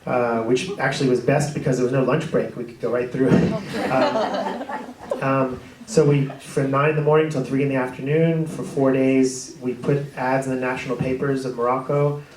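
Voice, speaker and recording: A man's voice: medium pitch, normal speed, far from the mic. Rambling man telling stories on stage. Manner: rambling, animated, gestures a lot. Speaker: film director guest. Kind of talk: storytelling in a panel talk. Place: hall with audience. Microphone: handheld mic. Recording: echoey hall taped from afar.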